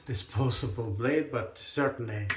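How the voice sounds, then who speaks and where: Hoarse man in an echoey bathroom. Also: mid-range voice. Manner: slow, halting, with frequent throat clearing. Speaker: shaving vlogger. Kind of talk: casual chat while shaving. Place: small tiled bathroom, indoors. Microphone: camera on the counter at arm's length. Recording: echoey tiled bathroom, faint noise.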